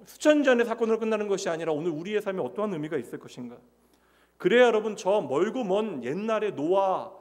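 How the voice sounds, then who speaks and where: A Korean man in his forties preaching a sermon to his congregation in a church, speaking conversationally and putting rhetorical questions to the listeners. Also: high voice. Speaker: pastor. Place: church sanctuary. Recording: long church reverb.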